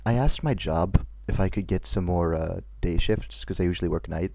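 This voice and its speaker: A man with a deep voice co-hosting a casual podcast chat, dry and laid-back in his banter. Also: fairly quick, creaky, dark tone, no room sound. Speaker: podcast co-host.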